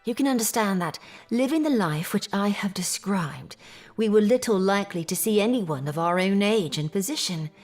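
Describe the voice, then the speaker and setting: A woman playing a distressed young gentlewoman in a Victorian audio drama. She speaks formally and in measured phrases at a middle pitch, with clear music underneath. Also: expressive, natural voice. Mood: sorrowful. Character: distressed Victorian English gentlewoman recounting family misfortune. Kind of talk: period audio drama acting, narrative monologue.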